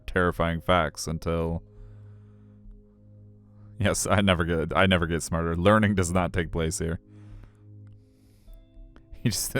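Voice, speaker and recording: Deep, smooth-voiced male game streamer. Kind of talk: live stream chat and visual novel narration.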